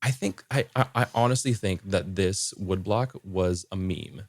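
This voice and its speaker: Male podcast speaker, low register, dry sound, casually explanatory and lightly amused. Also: a little slow, no echo.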